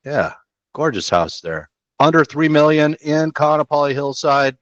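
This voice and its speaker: A man's voice: a little high, big pitch swings, normal speed, fairly bright tone, no room sound. A middle-aged man hosting a real estate show, chatting casually and slangily through listings on a headset from a small room. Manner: laid-back, slangy, chatty. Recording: dull dry home recording.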